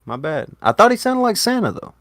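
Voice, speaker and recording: A man hosting a casual online talk show, joking and swearing, with bursts of loud excitement. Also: high voice, brisk, no room sound, studio quality. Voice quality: lively, swings into loud bursts. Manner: profane, jokey, talks with laughs. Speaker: podcast host. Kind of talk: casual chat.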